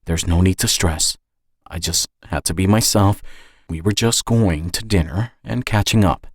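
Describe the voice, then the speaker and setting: Low-voiced man narrating suspense fiction. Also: measured pace, no echo, studio quality. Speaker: writer and narrator of an audio story. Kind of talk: first-person scripted suspense narration.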